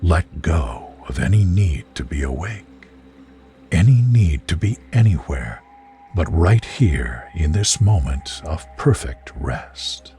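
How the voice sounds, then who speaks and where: A man reading a scripted guided sleep visualization in a soothing, gentle, measured way, as a narrator of bedtime relaxation stories. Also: very deep voice, big pitch swings. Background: music behind the voice.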